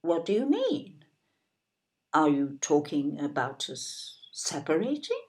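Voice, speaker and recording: An actress playing a fussing, incredulous older British wife in an audio play, fretful and full of exclamation. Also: female voice, deep voice, huge pitch swings, takes it slowly, darkish tone, full character voice. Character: fussing, indignant older wife, doubting her husband.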